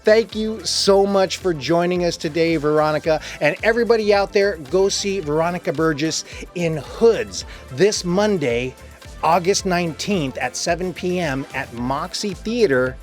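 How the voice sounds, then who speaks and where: A man's voice: on the high side, a little slow, soft background music. A man hosting a casual interview. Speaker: interviewer, host.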